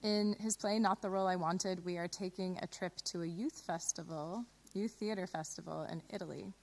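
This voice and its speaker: A woman's voice: fairly low pitch, fairly even intonation, normal speed. A woman hosting a live broadcast, introducing radio plays. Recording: clear background noise and ambience.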